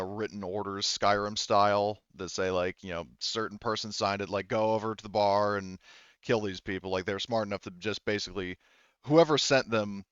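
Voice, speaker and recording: A man's voice: on the low side, fairly bright tone, smooth, dry recording. Man, game master, dry slightly muffled recording, rambling explanations, tabletop roleplay.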